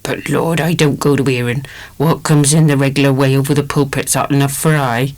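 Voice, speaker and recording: A man voicing a kindly, chatty old village woman with a rustic West Country English accent and a husky, breathy voice, gossiping unhurriedly. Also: mid-range voice, level tone, a little fast, voice pushed up, natural voice. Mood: folksy, chatty. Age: older.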